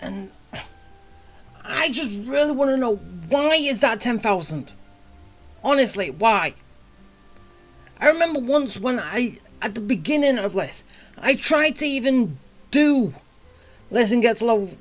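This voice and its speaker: A game streamer thinks aloud in casual, rambling commentary. The voice sits at a middling pitch and moves slowly, often slipping into muttering to itself. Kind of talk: casual gameplay commentary, talking to self.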